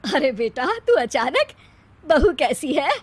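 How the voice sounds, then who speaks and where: A woman voicing a caring middle-aged cartoon mother who gently reproves and advises her grown son. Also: high-pitched, very animated, slow pace, no room sound, heavily acted. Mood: concerned.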